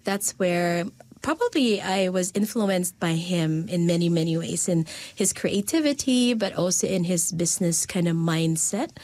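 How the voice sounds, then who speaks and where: A woman's voice: fairly low pitch, lively intonation, moderate pace, darkish tone, dry recording. A woman from the Philippines, a designer and lecturer answering interview questions in an earnest, searching way full of fillers and repeats.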